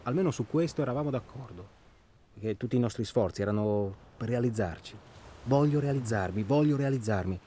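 A male actor plays a defensive, childless married man in a radio drama. He is irritable and bitter, with a middling pitch. He speaks naturalistically, stumbling over phrases, repeating them and swearing. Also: lively intonation, dark tone, a little hiss or hum, lightly acted. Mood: reflective, bitter. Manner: profane, halting, repeats himself.

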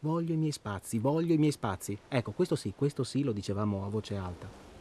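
An actor in a radio drama playing an irritable, bitter married man, halting and repetitive, swearing as he talks in a naturalistic way. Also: medium pitch, mellow, a little hiss or hum, close to an everyday voice. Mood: exasperated, wry. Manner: profane, halting, repeats himself.

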